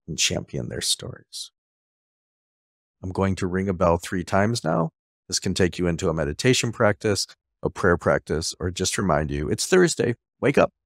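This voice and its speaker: A middle-aged man hosting in a conversational coaching style, emphatic and deliberate, on a headset mic. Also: deep voice, no room sound, clean studio sound. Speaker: host. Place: office, video call.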